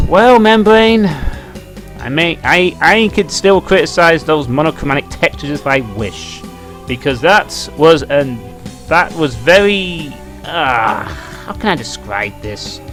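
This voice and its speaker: A man's voice: on the high side, lively intonation, slow pace, fairly bright tone. A man giving casual video game commentary, thinking aloud in a musing, dryly critical way. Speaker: let's play host. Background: music behind the voice.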